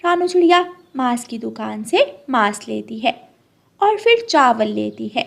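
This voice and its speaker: A woman's voice: fairly high pitch, animated, a little slow, gravelly. A woman storyteller reading scripted narration for a children's cartoon moral tale.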